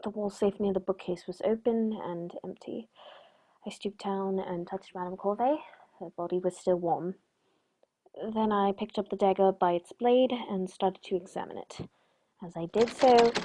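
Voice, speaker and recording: A composed gentleman detective from a radio play, acted by a woman. The voice sits at a middle pitch and speaks with precise, dryly polite, measured care. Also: lightly acted, low-quality recording. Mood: measured recounting.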